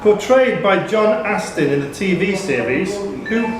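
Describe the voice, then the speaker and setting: A man's voice: sits high, animated, unhurried, music in the background. A man reading out questions as the host of a live pub quiz. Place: indoor pub quiz venue. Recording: echoey pub room with crowd noise. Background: pub crowd.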